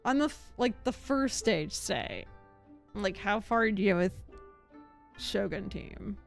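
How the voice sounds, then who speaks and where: A woman's voice: medium pitch, animated, slow pace, creaky. A woman live streamer chatting casually over a game, giggly and rambling. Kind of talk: casual gaming stream chat. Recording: background game music.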